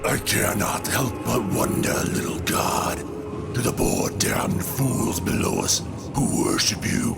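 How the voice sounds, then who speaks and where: A man voicing a menacing, contemptuous butcher of gods in a comic book drama, with a whispery rasp and a taunting delivery. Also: on the low side, huge pitch swings, slow, pitched below the performer's own voice, heavily acted. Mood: taunting, sinister. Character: sinister male god-killing butcher, menacing and contemptuous. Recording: dramatic music behind the voice.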